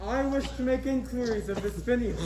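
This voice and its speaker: A man's voice: very high-pitched, a little fast. A man playing a bold, commanding young ship captain in theatrical stage style. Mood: earnest. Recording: echoey stage, music and crowd behind.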